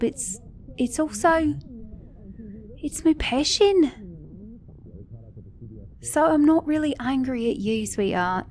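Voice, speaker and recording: High-voiced sassy, caring girlfriend, acted by a woman. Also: darkish tone. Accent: Australian or British. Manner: sassy, affectionate, emphatic, addressing a partner directly. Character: sassy, caring female mechanic girlfriend, scolding then tender. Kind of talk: ASMR roleplay audio drama.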